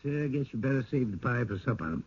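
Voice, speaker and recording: Gravelly, folksy old small-town mayor. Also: male voice, mid-range voice, expressive, fast talker. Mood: hospitable. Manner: folksy, genial, leisurely, hesitant. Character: courtly, unhurried small-town mayor, widower, hospitable. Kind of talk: radio drama acting. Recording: dull old radio recording.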